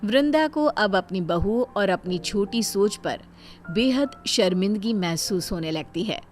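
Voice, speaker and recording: A woman's voice: mid-range voice, expressive, unhurried. A woman narrating a scripted bedtime story. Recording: music behind the voice. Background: background music.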